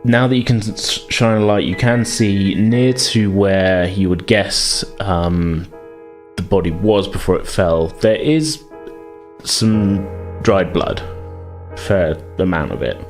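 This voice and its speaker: A man's voice: sits low, takes it slowly, faint music behind. A man narrating a tabletop game as game master in a hesitant, self-correcting, conversational way.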